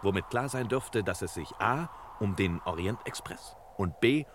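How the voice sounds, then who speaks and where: An actor playing a self-assured, know-it-all master detective in a crime radio play parody, with pompous, clipped delivery. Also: male voice, fairly low pitch, animated, normal speed, natural voice. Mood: brisk, superior. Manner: pompous, clipped, precise deductions. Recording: noisy old radio drama recording.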